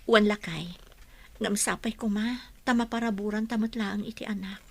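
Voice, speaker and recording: Woman playing husky, gentle, wistful wife. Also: mid-range voice, fairly even intonation, normal speed. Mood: grateful, hopeful. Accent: Ilocano. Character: Olive, gentle, wistful wife longing for a child. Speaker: actress in radio drama. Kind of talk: Ilocano radio drama acting.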